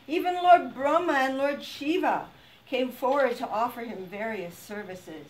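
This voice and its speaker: A woman narrating a scripted puppet-show story in an expressive storybook manner. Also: a little high. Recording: distant microphone, background noise.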